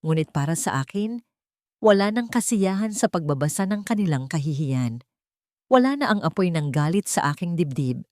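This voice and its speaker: A woman's voice: on the low side, lively intonation, normal speed, darkish tone, no echo, studio quality. A woman reading a dramatic first-person story as an audiobook narrator.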